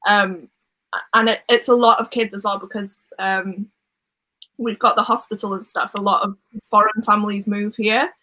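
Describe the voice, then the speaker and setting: A woman's voice: mid-range voice, level tone, normal speed, bright, forceful tone, rough recording. A young woman from Wales with a light Welsh accent, chatting on a video call as a book channel host, full of little laughs and fillers. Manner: chatty, giggly, lots of fillers. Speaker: booktube host. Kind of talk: casual chat on a live stream.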